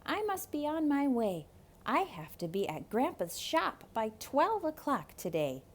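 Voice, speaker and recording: A woman voicing a polite, quick-thinking little boy in a children's storybook. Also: sits high, big pitch swings, pitched above the performer's own voice, heavily acted. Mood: polite, hurried. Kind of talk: theatrical children's storybook read-aloud.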